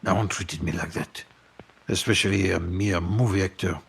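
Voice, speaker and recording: A man's voice: low voice, fairly even intonation, moderate pace, fairly bright tone, heavily acted. An actor playing a snarling, misanthropic French film producer in his eighties, grandiose and florid in a scripted documentary-style interview. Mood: bitter, haughty. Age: 80s. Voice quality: gravelly, raspy.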